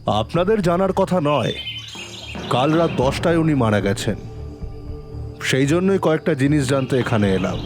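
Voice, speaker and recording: A man playing a composed, probing police inspector who narrates his case in a dramatic, deliberate audio-drama style. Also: on the high side, animated, close to an everyday voice. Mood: firm, questioning. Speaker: narrator and lead actor in an audio story. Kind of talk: dramatic first-person detective story narration. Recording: long reverb, music underneath.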